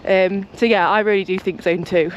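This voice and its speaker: A woman's voice: medium pitch, fairly even intonation, normal speed, lo-fi sound, a little hiss or hum. Chatty woman vlogging on a walk. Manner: chatty, rambling, talks a lot. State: croaky just-woken voice. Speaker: cycling vlogger. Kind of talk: casual vlog chat to camera. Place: home, then outdoors on a walk.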